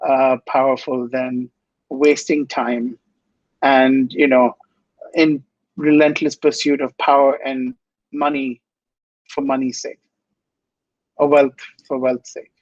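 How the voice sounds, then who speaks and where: A man's voice: medium pitch, level tone, slow pace, pauses often, a little mellow, dry recording, highs cut off. A man from India, speaking earnestly and with passion as a guest in an interview, often repeating phrases for emphasis. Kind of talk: inspirational interview answers.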